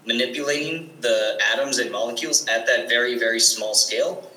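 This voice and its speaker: A man's voice: medium pitch, fairly quick, pushed and bright, echoey room, poor audio. A teenage boy answering interview questions eagerly, with frequent restarts and fillers. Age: teens. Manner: eager, restarts often, many likes and ums. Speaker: guest.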